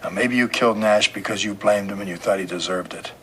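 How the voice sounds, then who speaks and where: An actor playing a calm, relentless police detective in an audio drama, questioning in a terse, methodical way. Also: male voice, on the low side, fast, natural voice. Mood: probing, pressing. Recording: clear background noise and ambience.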